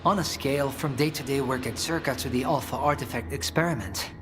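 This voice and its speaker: An actor playing a cautious, candid ally in a video game, guarded and matter-of-fact. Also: male voice, medium pitch, a little fast, close to an everyday voice. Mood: wry, cautious. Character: cautious, candid male ally, dry and resolute. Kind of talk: dramatic video game acting. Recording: music behind.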